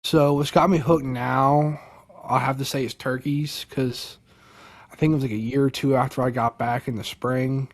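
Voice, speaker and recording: A young man from Delaware, a podcast guest, telling a story casually and excitedly, with lots of "like" and "dude". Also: medium pitch, normal speed. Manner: animated, many fillers like "like" and "dude".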